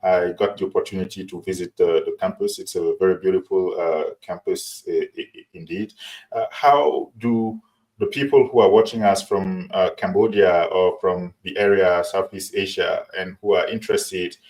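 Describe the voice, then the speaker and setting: A man hosting an interview, asking long questions with frequent pauses and restarts. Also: deep voice, fairly even intonation, a little mellow, low-quality recording. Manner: halting, many fillers and restarts. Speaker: interview host.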